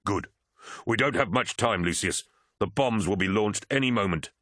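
A man's voice: fairly low pitch, moderate pace, a bit bright, no echo, studio quality. A man voicing a loyal soldier captain in an audiobook, grave and earnest as he delivers grim orders. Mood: determined.

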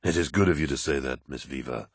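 A man voicing a dying ancient male warlord who speaks in a rasping, wheezing voice. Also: rumbling low, fast talker, no room sound, clean studio sound, voice pushed down. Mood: weary, grave.

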